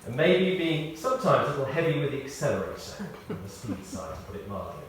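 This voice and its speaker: A British man reads a scripted memorial tribute as a eulogist, telling anecdotes in a measured way with pauses and emphasis. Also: a little high, sing-song. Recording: very echoey, distant mic, faint noise.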